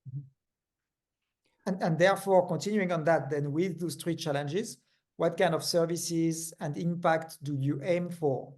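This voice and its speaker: A man's voice: fairly high pitch, measured pace, darkish tone. A man with a Danish accent, co-hosting an interview, talking hesitantly with many fillers. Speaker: co-host, management consultant.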